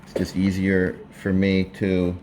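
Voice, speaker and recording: A man narrating a casual hands-on how-to, explaining step by step in a halting way, recorded indoors. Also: deep voice, monotone, darkish tone. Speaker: presenter of a how-to video. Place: indoors, living room at a table. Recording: clear background room noise.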